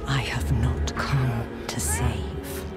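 A woman's voice: very deep voice, fairly even intonation, drawn-out words, exaggerated voice. A woman playing an imperious demon mother in a video game, solemn, seductive and commanding. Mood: ominous command. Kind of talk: dramatic video game acting. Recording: game cutscene under loud music. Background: loud cinematic music.

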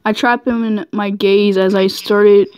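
A woman's voice: medium pitch, steady tone, a little slow, darkish tone, clean tone, dry recording. A giggly woman goofing around as she reads fan fiction aloud, often stumbling over the text.

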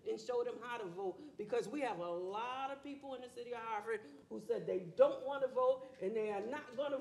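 A middle-aged woman pastor answering at a candidate forum, emphatic and repetitive, on a handheld mic in a library meeting room. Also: fairly high pitch. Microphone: handheld microphone.

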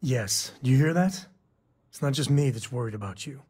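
An actor playing a protective, wry space-cowboy father in a video game, with a husky, rough-edged voice and a casual, folksy way of talking. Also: medium pitch, animated, moderate pace, a little mellow. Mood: worried, stern. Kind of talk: video game dialogue acting.

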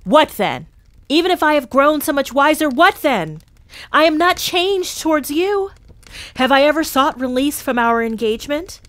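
A woman's voice: high-pitched, big pitch swings, fairly bright tone, no room sound, voice pushed up. A woman voicing a man in the prime of life who insists defensively. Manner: insistent, quick.

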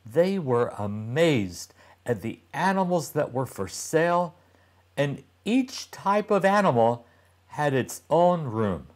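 An older man reading a children's story aloud with expressive, deliberate and emphatic delivery. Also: on the high side. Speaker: storybook reader for young children.

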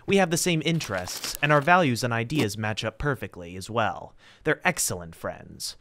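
A man's voice: medium pitch, expressive, normal speed, a bit bright, a little hiss or hum. A man voicing an aloof, curt, solitary teenage schoolgirl in a dramatic manga reading. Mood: cool, defensive.

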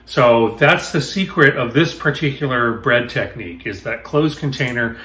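A man in his fifties hosting a home cooking show, explaining each step in a relaxed way as he works in his kitchen. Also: mid-range voice, moderate pace. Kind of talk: casual cooking demonstration.

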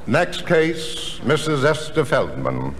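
An actor playing a formal, incredulous divorce-court judge in a comedy record sketch. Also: male voice, mid-range voice, huge pitch swings, slow. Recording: noisy, reverberant old comedy record before audience.

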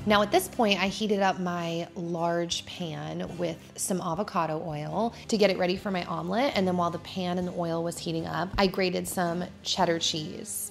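A woman in her thirties, a vlogger chatting casually at home as she narrates her cooking. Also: lowish voice. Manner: chatty, laid-back, with casual fillers. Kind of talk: cooking vlog voice-over. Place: home, indoors.

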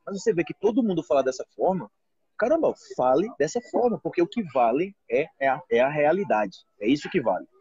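A Brazilian man in his thirties talks fast and animated into a phone held close, his voice husky and a little high for a man. The sound is dry and muffled, as if caught by a phone's mic in a restaurant. He keeps asking if you follow him. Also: no room sound. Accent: Brazilian Portuguese. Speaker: Portuguese teacher and channel host. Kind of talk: casual live video call chat. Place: restaurant, indoors. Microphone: phone held close, front camera.

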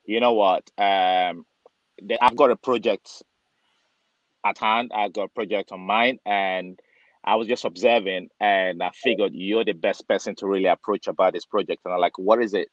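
A man's voice: fairly low pitch, fairly even intonation, normal speed, no room sound. A man from Nigeria who works as a barber, telling a story on a video call, chatty and acting out the voices of people in it. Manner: chatty, acts out dialogue, many fillers. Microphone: webcam on a video call. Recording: dull lo-fi video call audio.

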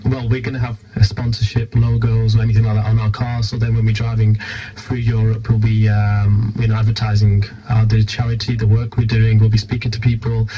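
A man's voice: on the low side, completely flat delivery, brisk, warm tone, breathy edge, faint music behind, low-quality recording. A British man giving an informal radio interview as a guest, explaining things earnestly. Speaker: charity team leader, radio interview guest.

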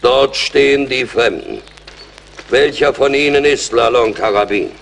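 An actor playing a venerable, weary old Delaware chief in a German radio play, speaking in solemn, ceremonious oratory. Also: male voice, mid-range voice, a little slow, gravelly, a bit bright. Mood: solemn, authoritative. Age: older. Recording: faint background noise.

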